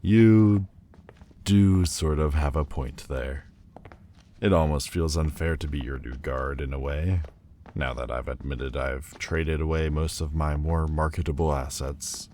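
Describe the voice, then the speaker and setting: A man playing a proud, wounded demon lord turned mortal in a dramatic audio roleplay, speaking haughtily and theatrically with hesitant pauses. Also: rumbling low, darkish tone, slight background noise. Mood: resigned, devoted. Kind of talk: dramatic ASMR roleplay monologue.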